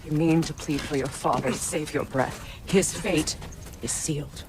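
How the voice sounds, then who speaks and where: A man's voice: fairly high pitch, measured pace. A man playing a grim, imposing, fatalistic ancient being in a video game cutscene, speaking in a solemn, terse and formal way. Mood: coldly dismissive.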